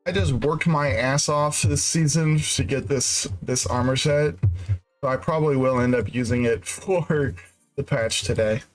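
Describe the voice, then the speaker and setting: A man's voice: mid-range voice, measured pace, faint music behind, low-quality recording. A congested man chatting casually on his game stream, rambling, laughing and swearing freely. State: congested nose and throat. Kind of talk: casual gaming stream chat.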